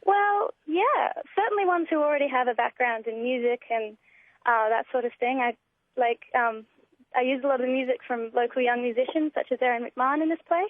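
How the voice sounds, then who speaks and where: A woman's voice: high voice, a little fast, no room sound. A young Australian woman chatting enthusiastically as a guest in a casual radio interview, heard over the telephone. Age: young adult. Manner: enthusiastic, gushing. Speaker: interview guest, student writer. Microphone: telephone call. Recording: tinny narrow phone line.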